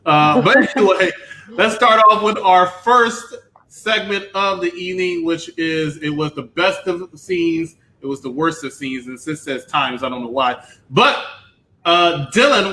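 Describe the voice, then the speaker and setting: A man with a high, bright voice hosting a casual review show. He presents like a teasing announcer. The recording is roomy and echoey. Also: moderate pace. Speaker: podcast host. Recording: roomy echo with faint noise.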